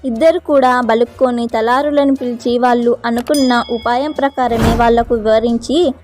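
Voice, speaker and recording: A woman narrates a children's folk tale from a script in a high-pitched voice. Faint music plays behind the storytelling. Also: steady tone, normal speed.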